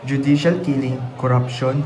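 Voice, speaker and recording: A man's voice: medium pitch, fairly even intonation, moderate pace, a crowd in the background, natural voice, low-quality recording. A man acting a defiant, grieving son exposing his corrupt father in a solemn, declamatory Filipino radio drama style. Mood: accusing.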